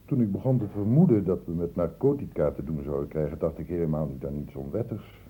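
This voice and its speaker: An actor in a Dutch radio play voicing an earnest, insistent doctor who questions in a measured, formal way. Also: male voice, deep voice, mellow, husky. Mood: measured, reasoning. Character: earnest, insistent male doctor investigating an infection outbreak. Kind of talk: Dutch radio drama acting. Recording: muffled phone-like old radio recording.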